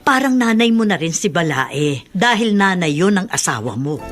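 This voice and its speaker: A Filipino actress playing a middle-aged mother in a radio drama, gently firm, concerned and admonishing. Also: lowish voice, sing-song, normal speed, over music. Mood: concerned, advising. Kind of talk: Tagalog radio drama acting.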